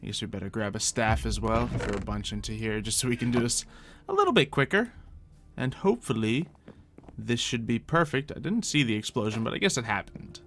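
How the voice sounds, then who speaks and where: A man's voice: mid-range voice, brisk, a bit bright, slight background noise. A man giving casual, improvised gameplay commentary in character, chatting to himself and thinking aloud as he goes. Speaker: gaming video host playing in character.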